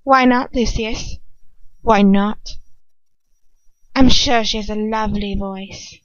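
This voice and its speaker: A woman voicing a cruel, spoilt villainous witch for an audiobook, shrill, mocking and demanding. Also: fairly even intonation, slow pace, dry recording, natural voice. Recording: dull lo-fi home recording.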